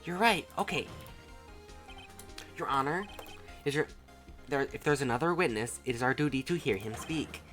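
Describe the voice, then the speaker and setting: An earnest young man defending in a courtroom game, played by a streamer. He sounds easily rattled, with a very lively pitch over background music. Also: normal speed, pauses often, natural voice. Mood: resolved.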